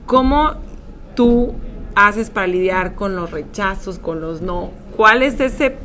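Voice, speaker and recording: A woman hosting an interview show in an upbeat, casual way, often filling pauses. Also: medium pitch, fairly bright tone. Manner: upbeat, with frequent filler words. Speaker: podcast host. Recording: faint background noise.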